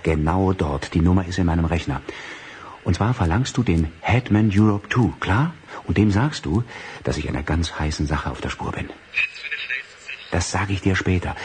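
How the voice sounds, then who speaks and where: A scheming, bossy character in a radio drama. His very deep voice is curt and commanding, with impatient urgency, over faint background noise. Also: darkish tone. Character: bossy, scheming man giving urgent secret orders. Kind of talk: German radio drama acting.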